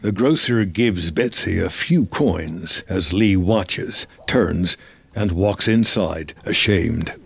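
A man narrating a film script in the present tense with measured, descriptive delivery over ambient sound. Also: sits low, animated, creaky, breathy edge, no echo.

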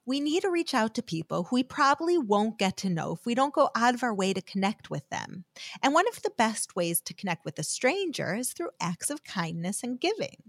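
A woman's voice: a little high, sing-song, fairly quick, no echo. An American woman giving a heartfelt, scripted devotional talk that she tells as a personal story. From United States.

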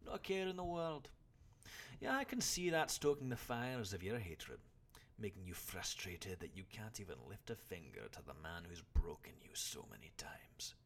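A man's voice: deep voice, sing-song, normal speed, a bit bright, exaggerated voice. A man voicing a stoic, blunt older warrior mentor who scolds his pupil in an audiobook story. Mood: blunt, measured.

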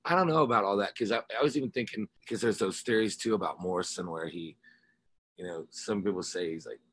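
A man's voice: deep voice, fast talker, fairly bright tone, dry recording. An American man from the Ozarks chatting casually as a musician being interviewed, in a rambling, slangy, informal way. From Ozarks, United States. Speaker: interview guest, rock musician.

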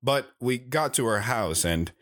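A man's voice: medium pitch, animated, unhurried, a bit bright. A man playing an awkward, lovestruck wanderer who earnestly tells his life story in an audio drama. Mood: earnest, embarrassed. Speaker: storyteller guest on a radio talk show. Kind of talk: dramatic first-person audio drama narration.

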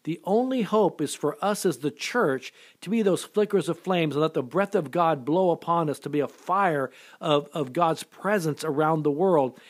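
A man's voice: a little high, normal speed, hardly any pauses. A middle-aged man preaching an earnest sermon, often restarting and repeating words as he goes. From born in Japan, raised in America. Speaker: pastor.

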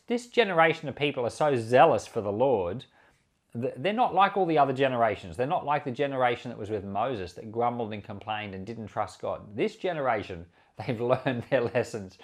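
Man preaching with lively, swooping pitch. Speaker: pastor and podcast host. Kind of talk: scripture reading and informal sermon commentary.

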